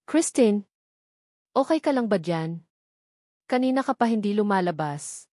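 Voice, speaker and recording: A woman voicing a calm, patient young husband in a storybook drama, speaking gently and evenly. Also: mid-range voice, a little slow, no echo, studio quality. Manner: calm, gentle, even-tempered. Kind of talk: audio drama reading.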